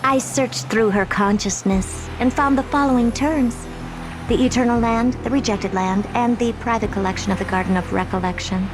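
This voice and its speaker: A woman's voice: a little high, normal speed, lightly acted, low-quality recording. A woman playing a haughty young female genius scientist in a video game, imperious, theatrical and self-assured. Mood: haughty, matter-of-fact. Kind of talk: acted video game dialogue. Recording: background music under the voice.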